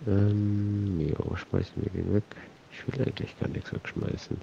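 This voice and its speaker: Gravelly low-voiced man with a cold. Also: flat delivery, measured pace, dark tone, lo-fi sound, a little hiss or hum. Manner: rambling, muttering to himself. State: has a cold. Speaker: let's play host. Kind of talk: casual gameplay commentary.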